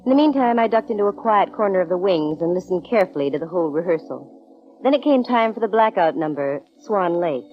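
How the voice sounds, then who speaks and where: Actress as a low-voiced wry lady private eye. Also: animated, fairly quick, soft background music, lightly acted. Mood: wry narrating. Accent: American. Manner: wry, breezy, hard-boiled. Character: brisk, wry young female private detective. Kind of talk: 1940s radio acting, first-person detective narration. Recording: dull, band-limited old radio recording.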